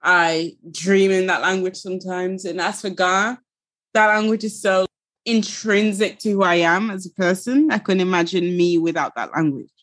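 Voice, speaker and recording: A woman's voice: on the low side, fairly even intonation, normal speed, a bit bright. A young woman with a Yorkshire accent, chatting as an interview guest and laughing easily. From Finland, raised in England. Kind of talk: casual interview talk.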